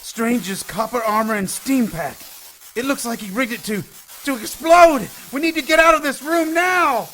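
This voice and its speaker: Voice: medium pitch, expressive, moderate pace, noisy background, natural voice. An actor playing an anxious, subservient henchman of a witch queen in an audio drama, panicky and deferential. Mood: panicked.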